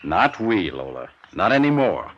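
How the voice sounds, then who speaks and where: An actor playing a cold, scheming swindler in a 1950s radio drama, speaking in terse, menacing lines. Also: male voice, deep voice, very animated, unhurried, full character voice. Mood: cold, threatening. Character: cold, scheming male swindler double-crossing his accomplice. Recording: dull vintage radio recording.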